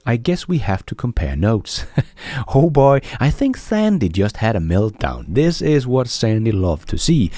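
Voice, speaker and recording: A man's voice: medium pitch, mellow. A man hosting a news commentary show for viewers, enthusiastic and emphatic in his delivery. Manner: enthusiastic, emphatic, chuckling between points. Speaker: host of a Tesla news channel.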